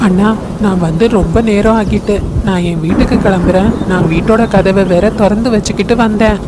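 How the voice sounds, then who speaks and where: A woman voicing a sly younger male eagle in a cartoon, eager and scheming, recorded over loud background noise. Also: medium pitch, fairly even intonation, fairly quick, close to an everyday voice. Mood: polite. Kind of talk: cartoon voice acting.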